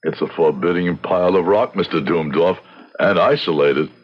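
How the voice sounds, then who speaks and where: An actor playing a genteel, articulate frontier lawyer and judge in a radio drama, formal and courteous. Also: male voice, low-pitched, moderate pace, breathy edge. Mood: concerned. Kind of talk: radio drama acting and narration. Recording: lo-fi radio recording with music behind.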